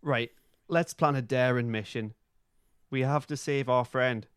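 Male podcast host, mid-pitched, playful narrator of a comic script, very dry close recording. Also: clear, resonant tone, no room sound. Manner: playful, breaks off to comment. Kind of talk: reading a comic script aloud.

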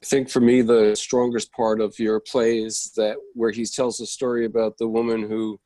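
A man's voice: fairly low pitch, level tone, moderate pace, dark tone, no room sound. A man giving casual, reflective feedback in a discussion, earnest and searching for his words. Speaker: audience member giving feedback on a play.

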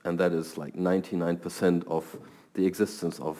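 A man's voice: deep voice, level tone, a little fast, warm tone. A middle-aged man from Germany with a German accent, a scientist answering interview questions thoughtfully and often repeating words as he starts. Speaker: guest scientist.

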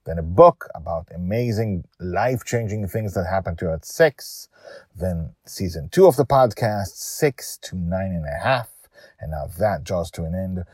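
Deep-voiced man, animated storyteller. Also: normal speed, no echo, clean studio sound. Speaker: podcast host and storyteller. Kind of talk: dramatic scripted storytelling with host asides.

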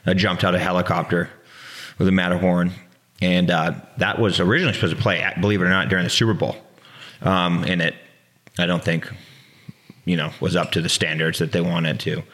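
A man's voice: low voice, flat delivery, a little fast, a bit bright. A man, a snowboarder guest on a podcast, chatting in an easygoing, encouraging way with lots of fillers. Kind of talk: casual podcast chat.

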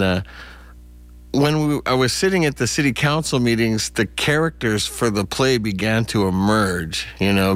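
An American man speaks as a guest in a casual radio interview. His pitch is average for a man, and the recording is dry with almost no room sound. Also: a bit bright, no room sound. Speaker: playwright and theater founder, interview guest.

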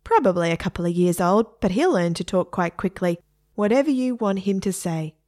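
A woman's voice: medium pitch, animated, brisk, darkish tone, natural voice. A woman voicing a breezy, salesy pet shop keeper in a read-aloud story.

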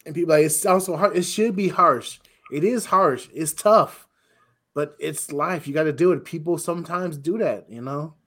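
This is a man with a somewhat high-set voice that has a rough edge to it. He speaks casually, like a co-host airing opinions. His manner is blunt and exasperated. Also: moderate pace, a bit bright. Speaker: talk show co-host.

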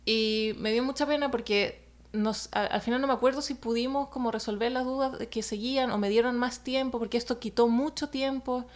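Woman storyteller, slightly high voice, hesitant, lots of fillers, casual chat. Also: fairly even intonation, brisk. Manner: hesitant, many fillers, laughs while telling. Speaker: illustrator telling a story.

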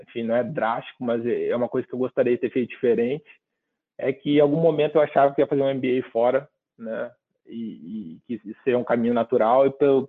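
A man from southern Brazil, giving reflective interview answers and often ending with tag questions. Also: medium pitch. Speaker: guest. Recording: phone-like narrow line.

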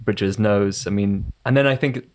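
A man's voice: fairly low pitch, a little fast, a little mellow. A man in a casual book discussion, talking in loose, restarting sentences and laughing often. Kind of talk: casual book club chat.

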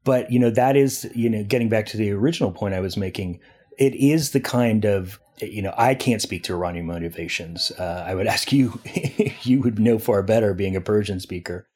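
A man's voice: lowish voice, fairly quick, darkish tone, breathy edge. A man speaking as a foreign news editor and interview guest, giving a long analytical answer with frequent fillers and restarts. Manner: discursive, many restarts and fillers.

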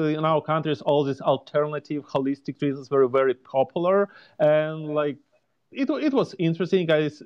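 A man in his forties from Ukraine with a Ukrainian accent, a guest answering interview questions in a halting way, searching for words. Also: on the high side, darkish tone, dull audio. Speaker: homeopath guest.

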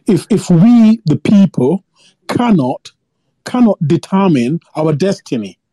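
A man calling in to air his views, his voice very dark and mellow and set fairly high. He stammers and restarts again and again as he presses his argument. The sound is dry and close. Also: very animated, no room sound. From Africa. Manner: halting, many repeated words and restarts. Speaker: caller. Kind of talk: impassioned phone-in opinion.

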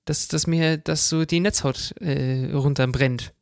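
Higher-pitched man with a creaky voice. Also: steady tone, measured pace, no echo, clean studio sound. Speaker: podcast co-host. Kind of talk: casual chat.

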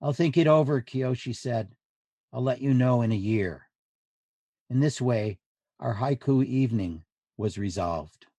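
Slow, mellow man reading literature. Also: mid-range voice, breathy edge, no echo. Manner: measured, deliberate phrasing. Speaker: author reading translated diary entries.

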